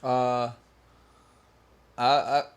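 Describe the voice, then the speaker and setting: A man from Peru chatting casually in an animated, slangy way. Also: medium pitch, very slow, smooth. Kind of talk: casual podcast chat.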